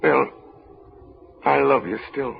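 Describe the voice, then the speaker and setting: A man's voice: mid-range voice, fairly even intonation, takes it slowly, creaky. An actor playing an earnest, defensive suitor in his prime in a 1930s radio drama, arguing through questions. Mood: pleading. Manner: argumentative, rhetorical questions. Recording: muffled, noisy 1930s radio recording.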